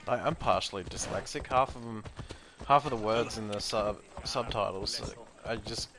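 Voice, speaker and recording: A man's voice: fairly low pitch, moderate pace, noisy background. A man giving casual gameplay commentary, ranting in an opinionated, profane way. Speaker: gaming commentator. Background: loud game music.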